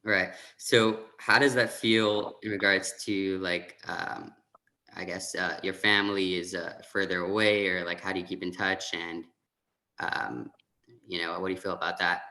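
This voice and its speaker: Very deep, bright-edged male interviewer. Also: flat delivery, unhurried, low-quality recording. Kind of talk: casual interview questions.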